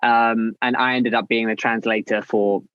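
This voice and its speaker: A man's voice: mid-range voice, level tone, normal speed, fairly bright tone, clear, resonant tone, dry recording, muffled recording. A man, a writer, guesting on a casual video-call interview and talking with effusive enthusiasm.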